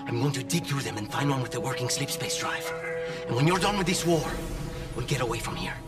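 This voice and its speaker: A man voicing a frightened, bitter pilot who speaks sarcastically and breaks into desperate shouts. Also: mid-range voice, expressive, fairly quick, recorded from a distance, natural voice. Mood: angry despairing. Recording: game audio with music, off-mic.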